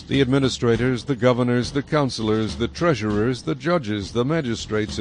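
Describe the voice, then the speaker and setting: A man's voice: mid-range voice, a little hiss or hum. A man narrating scripture from a script as the narrator of a dramatized reading.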